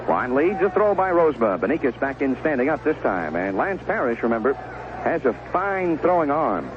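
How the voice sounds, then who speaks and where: A man's voice: mid-range voice, big pitch swings, moderate pace, highs cut off, noisy background. A man calling live baseball play-by-play in short, clipped phrases and conversational description, heard on a 1970s radio broadcast. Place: stadium broadcast booth. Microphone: broadcast microphone with headset earphone monitoring.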